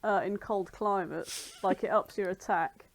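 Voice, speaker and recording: A woman's voice: medium pitch, measured pace. A woman in casual chat, emphatic and joking, swearing freely. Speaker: reader in a group reading.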